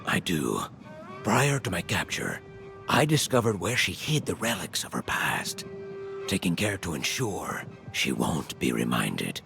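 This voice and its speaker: An actor playing a solemn, earnest captive scholar in a video game drama, with measured, theatrical delivery. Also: male voice, deep voice, sing-song, gravelly, over music, full character voice. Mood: grave, resolute. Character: solemn captive scholar man reading scripture with earnest hope.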